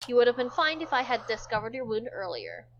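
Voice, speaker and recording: A woman's voice: a little high, huge pitch swings, fairly quick, fairly bright tone, a little hiss or hum. A woman streamer reading a visual novel aloud, narrating dramatically and chatting casually. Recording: dull, muffled lo-fi audio.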